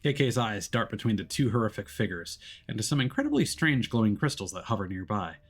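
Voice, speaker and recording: A man's voice: on the low side, very animated, a little fast. A man streaming a game, switching between reading narration aloud and casual commentary.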